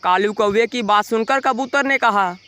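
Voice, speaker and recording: A man's voice: high voice, normal speed, pushed and bright, a little hiss or hum. A man narrating a scripted children's moral story as storyteller.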